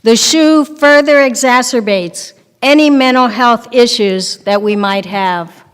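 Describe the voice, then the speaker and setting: A woman's voice: fairly high pitch, unhurried, smooth. An older woman reading first-person testimony aloud at a lectern. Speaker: reader in a readers theater. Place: large temple sanctuary, at a lectern. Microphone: podium microphone on a boom stand. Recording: long hall reverb.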